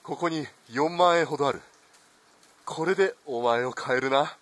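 A man's voice: fairly high pitch, normal speed, background noise, close to an everyday voice. A man playing a corrupt, callous army lieutenant colonel in a radio drama, haughty and commanding. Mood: smug, commanding.

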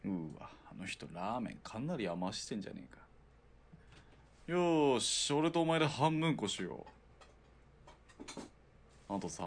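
A man acting in a radio drama as a wry, rough-spoken outlaw who works as a sniper's spotter. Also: fairly low pitch. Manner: gruff casual speech, dry retorts.

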